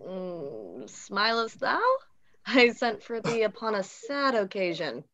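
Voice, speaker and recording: A woman's voice: medium pitch, sing-song, takes it slowly, fairly bright tone. A young woman playing a lovesick, earnest noblewoman in a Shakespeare comedy, read over a video call. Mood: puzzled. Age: young adult. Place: indoor room, earphones on video call. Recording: slightly dull video call audio.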